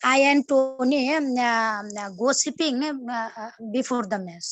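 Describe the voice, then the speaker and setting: Hesitant slow-speaking woman student. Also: a little high. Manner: hesitant, many fillers, searching for words. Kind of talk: classroom practice. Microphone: video call.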